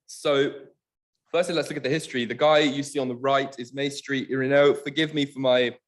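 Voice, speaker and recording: A man whose first language is Estonian, giving an online academic lecture in a halting, hesitant way over a video call. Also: medium pitch, fairly even intonation.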